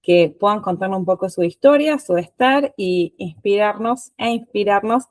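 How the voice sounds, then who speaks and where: A woman's voice: mid-range voice, normal speed, no room sound. A woman, hosting an interview podcast and asking her guest questions in an informal way. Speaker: podcast host.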